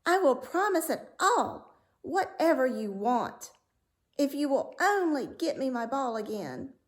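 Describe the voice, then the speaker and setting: High-pitched spoiled young storybook princess played by a woman. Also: sing-song, measured pace. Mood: eager. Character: spoiled young princess, tearful, eager, secretly scornful. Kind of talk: storybook read-aloud character voice.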